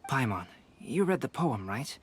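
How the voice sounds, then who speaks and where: A man playing a calm, analytical young scholar in a video game, speaking in a measured, formal way as he reasons step by step. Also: medium pitch, heavy background noise. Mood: calm, deliberate. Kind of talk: video game character acting.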